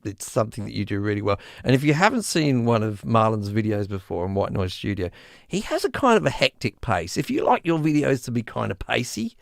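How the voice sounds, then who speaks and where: A man's voice: fairly low pitch, brisk, gravelly, no room sound, clean studio sound. Chatty male live-stream host. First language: English. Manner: chatty, laughing, restarts, lively. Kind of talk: casual live-stream chat.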